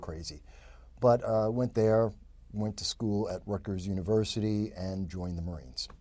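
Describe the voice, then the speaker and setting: A man's voice: lowish voice, measured pace, darkish tone, no room sound. A man, chatting as an interview guest and rambling with many fillers and restarts. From the Bronx, New York. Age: 50s. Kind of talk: casual interview talk.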